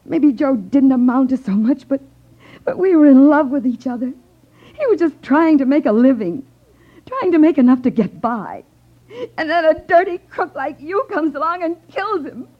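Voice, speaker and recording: An actress playing a bitter, defiant widow bent on revenge, emotional and dramatic, in a 1950s radio drama. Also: female voice, high-pitched, expressive, moderate pace. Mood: bitter, vindictive. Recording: muffled vintage 1950s radio recording.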